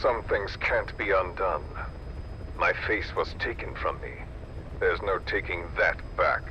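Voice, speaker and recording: A scarred, taunting villain. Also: male voice, deep voice, muffled recording. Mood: cold. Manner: slow, taunting, coldly polite. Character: scarred, cold, scheming male villain, middle-aged. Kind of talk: video game cutscene acting. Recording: game mix with loud background noise.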